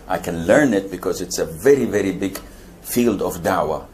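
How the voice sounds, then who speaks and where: Older saudi man, preacher, low-pitched, wry and emphatic, faint background hiss. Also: normal speed, a little mellow. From Saudi Arabia. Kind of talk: lecture Q&A answer.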